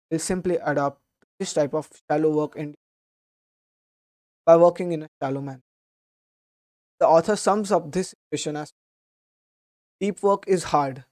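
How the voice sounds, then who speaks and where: A young man talks through a book summary in a steady, earnest way, now and then restarting a phrase. His voice is mellow and soft-edged, with a slight hoarseness from a sore throat. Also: a little high. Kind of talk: explanatory book summary talk.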